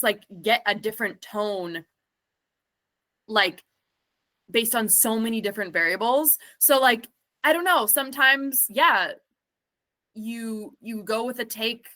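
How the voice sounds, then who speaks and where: Brief: woman vocalist in interview, bright ringing tone, loose talky delivery with lots of fillers. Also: mid-range voice. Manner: chatty and reflective, many "like" fillers. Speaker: singer and self-producing recording artist. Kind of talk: casual interview answers.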